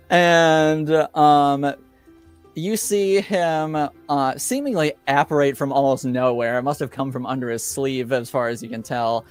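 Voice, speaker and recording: A man improvising narration as a game master in a tabletop roleplaying game, halting and hesitant with many filler pauses. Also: mid-range voice, smooth, faint music behind.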